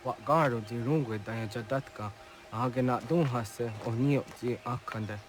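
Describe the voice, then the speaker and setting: A man's voice: medium pitch, unhurried, faint music behind, close to an everyday voice. An actor playing an earnest young village man in a video game cutscene.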